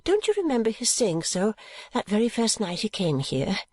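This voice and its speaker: A woman voicing a gentle, modest young daughter in an audiobook, earnestly protesting. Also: medium pitch, wildly swooping pitch, moderate pace, no room sound, clean studio sound, pitched above the performer's own voice. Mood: gentle, reasoning. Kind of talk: audiobook character acting.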